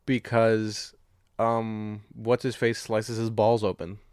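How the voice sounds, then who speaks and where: Guy on a podcast, lower voice, no room sound at all, rambly jokey sweary movie talk. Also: completely flat delivery, takes it slowly, darkish tone, smooth, dry recording, studio quality. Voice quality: slangy, profane. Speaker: podcast co-host. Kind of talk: casual film chat.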